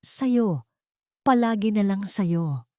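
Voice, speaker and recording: Audio drama villain: greedy, indignant older aunt, spiteful and defiant, higher pitch, played by a female narrator. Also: animated, takes it slowly, mellow, no echo, studio quality. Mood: bitter fury. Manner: indignant, self-righteous.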